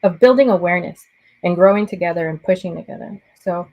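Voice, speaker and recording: A woman's voice: on the low side, fast, phone-quality sound. A woman hosting a casual video call conversation, affirming and slipping Spanish phrases into her English. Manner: affirming, frequent "right?" tags. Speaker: host.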